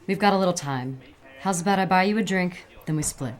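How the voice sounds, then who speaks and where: A woman's voice: fairly low pitch, fairly quick, lightly acted. An actress playing an unflappable, streetwise woman sleuth in a noir audio drama, sassy and teasing. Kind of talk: noir radio drama acting.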